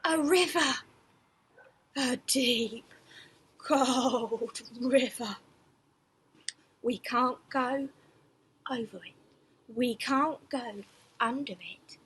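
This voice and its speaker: A woman reading a children's picture book aloud in a theatrical, expressive way, with gasps, whispers and drawn-out words. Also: on the high side, breathy edge. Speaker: teacher reading a bedtime story for schoolchildren.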